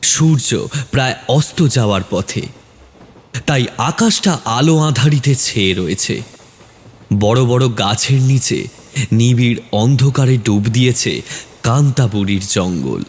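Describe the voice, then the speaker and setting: Animated male horror-story narrator. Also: mid-range voice, unhurried. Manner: dramatic, suspenseful.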